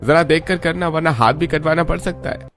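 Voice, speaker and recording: A man's voice: a little high, fairly quick, a bit bright, faint music behind, voice pushed up. A man playing a sober village hospital doctor who gives formal, cautioning advice in a story. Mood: grave warning.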